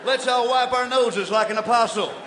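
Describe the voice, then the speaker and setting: An indignant, blustering preacher, played by a man, delivers a comic mock sermon in a high voice. The delivery is emphatic pulpit oratory that moves between grumbled asides and hollering. It rings through an echoey live hall with clear background noise. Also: moderate pace. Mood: grumbling. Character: blustering, indignant old-fashioned revival preacher, male. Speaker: comic preacher on a variety stage show. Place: large indoor theatre stage before an audience.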